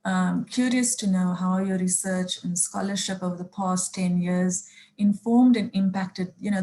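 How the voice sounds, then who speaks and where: A woman's voice: medium pitch, mellow. A woman from South Africa with a South African accent, a museum curator giving prepared remarks and questions on a panel, hesitating often with fillers and restarts. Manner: halting, many fillers and restarts. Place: online panel event.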